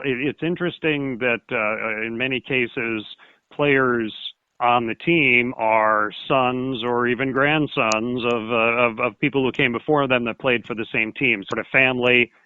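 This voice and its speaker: A man chats casually as a radio sports guest, close and dry with very little room around him. He talks in a folksy way, halting and rambling as he goes. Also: medium pitch, dry recording, narrow, tinny audio. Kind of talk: casual interview chat.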